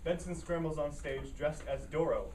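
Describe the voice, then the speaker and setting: A matter-of-fact play narrator read by a man with a fairly high voice, delivering scripted stage directions. He sounds some way from the mic, with faint noise around him. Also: normal speed, darkish tone, recorded from a distance, lightly acted, low-quality recording.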